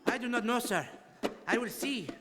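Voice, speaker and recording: In a reverberant hall, a man plays a cautious Indian guide in a radio play. The voice is notably high, formal and solemn, always deferential to his master. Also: normal speed, very noisy. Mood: alarmed caution. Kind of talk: stage radio drama acting.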